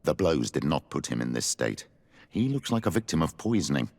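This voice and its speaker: A man playing a calm, pragmatic older cleric companion in a video game, with a gruff, rough voice. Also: extremely low pitch, fairly quick, exaggerated voice. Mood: grave. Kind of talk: video game dialogue acting.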